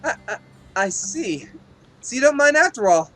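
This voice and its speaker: A woman's voice: fairly high pitch, big pitch swings, moderate pace, fairly bright tone, faint music behind, pitched above the performer's own voice, low-quality recording. A woman voicing a nervous, easily flustered young male hero in a fan-dubbed fantasy game. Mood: flustered, sheepish. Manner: dramatic, flustered outbursts and hesitations.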